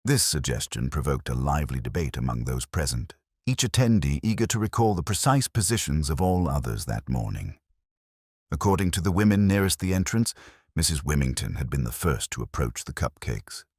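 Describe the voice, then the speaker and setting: A man narrating a cosy mystery story in a measured, formal, literary manner. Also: very deep voice, big pitch swings, a little mellow, husky, no room sound, studio quality. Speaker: audiobook narrator.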